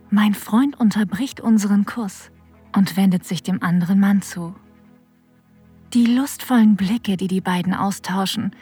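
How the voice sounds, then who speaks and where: A woman playing a flirtatious, adventurous narrator of an erotic audio drama, with a breathy voice that often drops toward a whisper. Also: medium pitch, darkish tone. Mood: sensual, hushed. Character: flirtatious, adventurous woman telling her own erotic story. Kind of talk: intimate first-person scripted narration.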